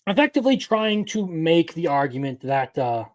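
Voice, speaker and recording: A man's voice: a little high, big pitch swings, normal speed. Opinionated young man commenting on stream. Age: 20s. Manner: opinionated, rambling, reacts to chat. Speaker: anime news commentator. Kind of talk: casual live-stream commentary.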